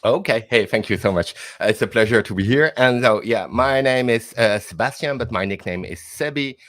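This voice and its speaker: A man's voice: mid-range voice, fairly quick, a bit bright, no echo. A man with a French accent, a developer advocate talking casually as a guest on a live stream, full of fillers and restarts. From Netherlands and France. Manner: hesitant, many fillers and repeated words, laughs easily.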